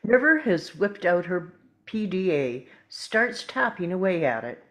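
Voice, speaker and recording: Lowish-voiced woman reading stage directions. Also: big pitch swings, measured pace. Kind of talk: scripted narration, present-tense screen directions.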